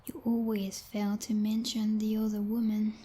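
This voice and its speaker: A woman playing a possessive, jealous female vampire knight in an ASMR roleplay, whispering in a breathy, condescending and coldly menacing way. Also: mid-range voice, monotone, mellow, close to an everyday voice. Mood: suspicious, interrogating. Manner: menacing, condescending, deliberate, teasingly formal.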